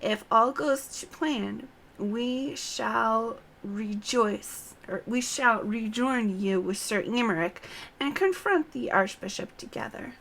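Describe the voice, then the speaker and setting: A woman voicing a resolute female knight commander in a fantasy game, formal and measured as she briefs her troops. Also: fairly high pitch, vocal fry, a bit bright, exaggerated voice. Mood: resolute, commanding. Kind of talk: read-aloud fantasy game dialogue.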